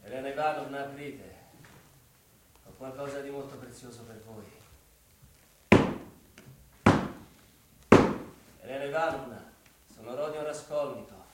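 An actor playing a tormented, proud young former student, brooding and obsessive, in Italian radio theatre. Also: male voice, mid-range voice, brisk, close to an everyday voice. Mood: agitated. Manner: brooding, obsessive, repeats words. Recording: echoey room, distant mic, noisy.

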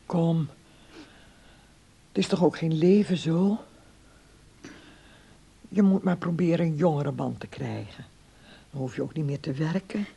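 An actress playing a practical, blunt aunt in a radio drama, creaky and husky, soothing yet prodding. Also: female voice, sits low, measured pace, long pauses, mellow, exaggerated voice. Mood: coaxing, blunt. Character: concerned, worldly-wise older aunt, practical and blunt. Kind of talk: naturalistic radio drama acting.

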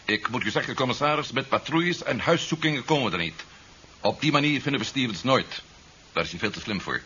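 An actor playing a cunning, methodical security agent in a 1970s radio drama, reasoning with assurance. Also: male voice, mid-range voice, expressive, fast talker, bright, forceful tone. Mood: methodical, assured. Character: cunning male Martian-turned security agent hunting fugitives. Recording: muffled, hissy 1970s radio recording.

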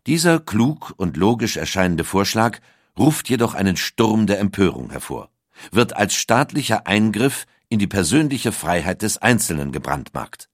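A man's voice: on the low side, very animated, normal speed, dry recording, clean studio sound. A man reading a scripted documentary audiobook as its narrator. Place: dry recording studio.

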